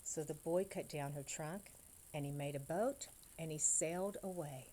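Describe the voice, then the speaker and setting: A woman, a school librarian, reading aloud and chatting to young children about crafts in simple, direct, unhurried words. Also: deep voice, warm tone, slight background noise.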